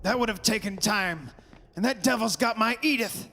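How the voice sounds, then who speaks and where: A man's voice: high voice, normal speed, creaky, bright, forceful tone, exaggerated voice. An actor playing a desperate, anguished father in an old-time style radio horror drama. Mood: anguished, urgent. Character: desperate, anguished father trying to rescue his daughter. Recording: hissy hall recording with long reverb.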